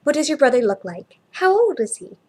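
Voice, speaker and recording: A woman voicing a kind, helpful female shop assistant in a story, talking in a gentle, coaxing, reassuring way. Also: high-pitched, big pitch swings, fairly quick, voice pushed up. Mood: soothing.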